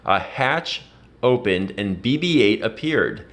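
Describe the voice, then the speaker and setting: A man reading a children's adventure story aloud in an expressive, dramatic storytelling manner. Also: on the low side, takes it slowly. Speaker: read-aloud narrator.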